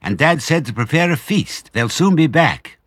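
A man voicing an excitable young boy fox in a storybook, breathless and spluttering with eagerness. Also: on the high side, moderate pace, husky, no room sound, clean studio sound, pitched above the performer's own voice. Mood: breathless excitement.

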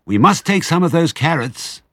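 The smallest fox cub in a storybook reading, a clever, earnest little boy with a piping voice pitched high above the reader's own, as a man puts him on. He talks brightly and with conviction. The sound is dry and close. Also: sing-song, normal speed, dry recording.

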